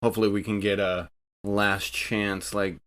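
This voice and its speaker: A man's voice: fairly low pitch, level tone, normal speed, fairly bright tone, smooth. A man chatting casually as a player in a tabletop roleplaying game. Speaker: player on an actual play podcast. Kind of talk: casual tabletop gaming chat.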